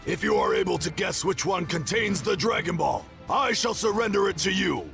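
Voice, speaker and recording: A man's voice: high-pitched, expressive, moderate pace, creaky, music in the background. A man playing a showy, honorable game guardian who runs a contest with grand, formal phrasing. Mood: showy challenge.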